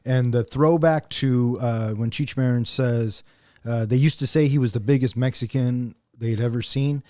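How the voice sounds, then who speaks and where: Man, movie podcast co-host, warm mellow tone, enthusiastic, joking, dry studio sound. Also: medium pitch, steady tone, moderate pace, no echo. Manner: enthusiastic, joking, swears playfully. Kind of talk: casual movie review chat.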